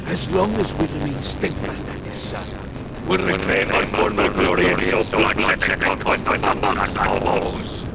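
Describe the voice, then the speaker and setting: An actor playing a fierce, scornful warrior zealot, declaiming loyalty in a video game. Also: male voice, deep voice, normal speed, vocal fry, heavy background noise. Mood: defiant, fervent. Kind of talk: dramatic video game acting.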